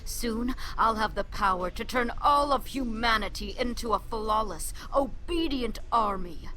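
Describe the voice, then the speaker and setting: A woman's voice: a little high, measured pace, bright, forceful tone, rough recording. An actress playing a smug, power-hungry villain queen in a video game cutscene, theatrical and taunting. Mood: smug menace.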